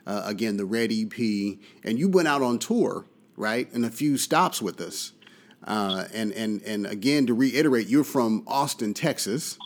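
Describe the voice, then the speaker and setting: A man hosting a casual talk radio show from a studio, relaxed and full of uh and mm-hmm fillers. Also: on the low side, measured pace. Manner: relaxed, fills his speech with uh and mm-hmm. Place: studio in Austin, Texas.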